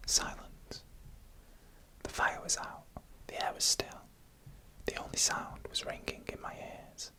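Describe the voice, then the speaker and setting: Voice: sharp tone, low-quality recording. Whispered ASMR storyteller, entirely unvoiced. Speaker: narrator.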